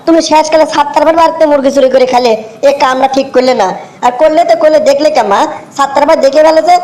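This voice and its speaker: A man's voice: very high voice, a little mellow, rough recording. A man voicing a fawning, scheming village henchman in a comic cartoon, chatty and cajoling, with a rural Bangladeshi Bengali accent.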